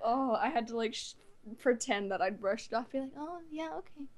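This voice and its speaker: A nineteen-year-old woman chatting casually as an interview guest, with a giggly, animated way of telling stories. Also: on the high side, brisk. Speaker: guest, amateur voice actor.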